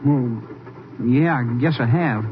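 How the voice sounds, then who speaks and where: An actor playing an earnest, homesick nineteen-year-old Texan army private, folksy and plain-spoken, in a 1950s radio drama. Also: male voice, slow pace, close to an everyday voice. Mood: uneasy. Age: young adult. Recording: noisy dull 1950s radio recording, vehicle rumble.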